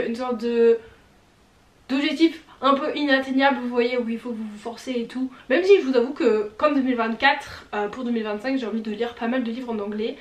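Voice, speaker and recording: A young woman talks through her year of reading in a relaxed, chatty way, often pausing and correcting herself as she goes. Her voice sits fairly high. The recording carries a noticeable room echo. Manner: chatty, with frequent hesitations and self-corrections. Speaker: book vlogger. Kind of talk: casual reading review to camera. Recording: echoey room.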